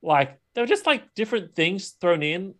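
A man with a slightly high voice talks as a podcast co-host in loose, casual conversation. He rambles in slangy, animated phrases, piecing his opinions together as he goes. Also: normal speed. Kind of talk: casual film discussion.

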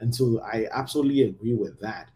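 A man hosting a casual podcast conversation, emphatic and animated, often checking in with "right?". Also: mid-range voice, fast. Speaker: podcast host.

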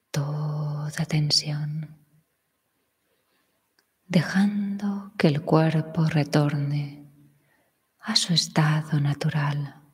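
A woman guiding a meditation, speaking in a soft, breathy near-whisper with long calm pauses. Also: sits low. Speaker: meditation guide. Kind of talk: guided meditation. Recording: long reverb.

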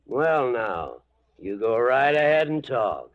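A man's voice: medium pitch, sing-song, slow. A man playing a murderous old outlaw patriarch in a 1940s western radio drama, drawling and menacing. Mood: cold menace. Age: older. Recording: dull 1940s radio broadcast recording.

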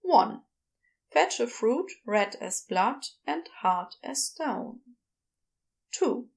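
A woman's voice: high-pitched, gravelly. A woman reading scripted fiction narration as the narrator of a podfic story.

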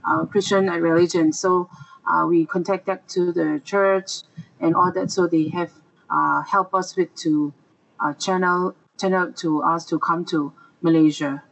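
A speaker from Myanmar, a refugee teacher, answering interview questions hesitantly while searching for words. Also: male voice, fairly high pitch, steady tone, a little slow, vocal fry, fairly bright tone, poor audio.

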